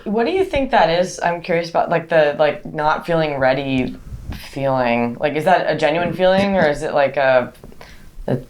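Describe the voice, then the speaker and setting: A woman's voice: low-pitched, animated, normal speed, slight background noise. A woman in her late twenties co-hosting a casual conversation, hesitant, with many fillers and restarts. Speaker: co-host.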